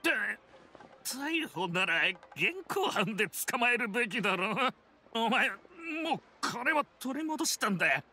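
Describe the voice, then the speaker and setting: An actor playing a blustering, threatening elderly swindler in a Japanese video game, rough-spoken and menacing. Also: male voice, extremely high pitch, very animated, a little slow, sharp tone, a little hiss or hum, exaggerated voice. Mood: defensive.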